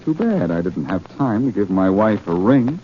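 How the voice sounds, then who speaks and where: An actor playing a cocky, wisecracking amateur detective in a 1940s radio drama, breezy and sardonic. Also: male voice, on the low side, sing-song, moderate pace. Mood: cool, needling. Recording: dull, noisy 1940s radio recording.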